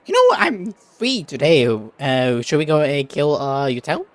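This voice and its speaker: A woman's voice: low-pitched, huge pitch swings, measured pace, slight background noise. A woman chatting casually as she plays a video game, reacting as she goes. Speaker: game player streaming and reacting. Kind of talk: casual chat over gameplay.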